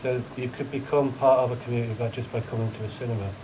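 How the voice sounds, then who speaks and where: A man in the audience giving a thoughtful, measured point in a panel discussion. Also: mid-range voice, fairly even intonation, noisy background. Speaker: audience member. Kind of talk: discussion contribution.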